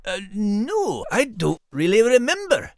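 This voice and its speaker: A man voicing a folksy, teasing Scottish dog with gravelly speech and broad dialect. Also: sits high, wildly swooping pitch, measured pace, no room sound, clean studio sound, heavily acted. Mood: puzzled. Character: plain-spoken Scottish male dog, podcast host.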